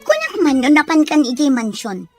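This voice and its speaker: A woman's voice: high voice, huge pitch swings, normal speed, soft background music. A woman with a Filipino accent playing an indignant, haughty, demanding woman in an audio drama. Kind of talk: Ilocano audio drama acting.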